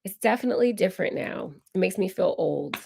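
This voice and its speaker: A woman in her forties, hoarse and raspy while recovering from illness, hosting a casual live Q&A and answering viewer comments. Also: medium pitch, animated, a little fast, a little hiss or hum. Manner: chatty, reads viewer comments aloud and answers warmly. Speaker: decor channel host. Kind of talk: live stream Q&A chat.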